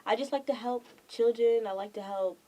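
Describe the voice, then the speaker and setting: A woman from the Bahamas, a nonprofit director, giving easygoing, soft-spoken interview answers. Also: fairly high pitch, normal speed, far from the mic, rough recording.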